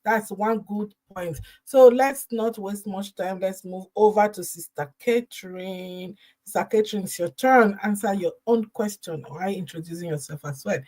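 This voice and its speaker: A woman moderating an online panel, upbeat and halting, with many fillers. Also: mid-range voice, a little mellow. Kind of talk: live online panel hosting.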